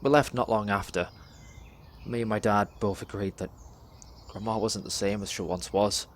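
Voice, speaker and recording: A young man performs a dramatic spoken word monologue in a measured, deliberate storytelling way, pausing between phrases. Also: on the low side, steady tone. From England. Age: young adult.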